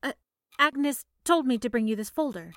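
A woman's voice: high voice, lively intonation, normal speed, fairly bright tone, no room sound, studio quality. A woman playing a polite, eager young new employee in an old-time radio play, courteous and a little tentative. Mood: nervous, deferential.

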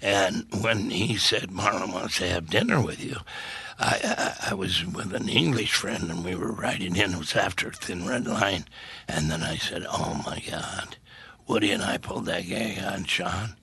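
Gravelly, husky older man in his seventies. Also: low voice, animated, moderate pace, sharp tone, no room sound. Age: 70s. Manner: rambling storyteller who laughs at his own jokes. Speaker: actor guest. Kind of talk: casual interview anecdotes.

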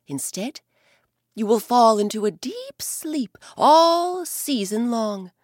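A woman's voice: a little high, very animated, takes it slowly, a little mellow, dry recording, studio quality, voice pushed up, heavily acted. A woman voicing a grand, kind male sky god in a children's story, proclaiming solemnly.

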